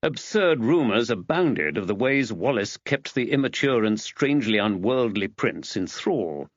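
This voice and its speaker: A man reading a novel aloud as an audiobook narrator, measured and expressive. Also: fairly low pitch, no room sound, highs cut off. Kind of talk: scripted literary narration.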